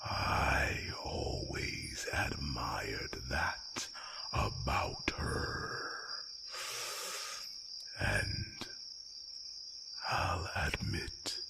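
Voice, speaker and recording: A man playing a grave, slightly grumpy old dragon in a fantasy roleplay audio drama, speaking slowly and solemnly in a breathy rasp. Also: sits low, exaggerated voice. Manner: slow, halting, solemn delivery. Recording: loud noise bed of night crickets.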